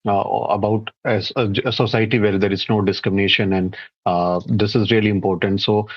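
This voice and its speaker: A man with an Indian accent hosting a panel interview, speaking haltingly with restarts, on lo-fi call audio. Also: on the low side, fairly even intonation. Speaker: interview host.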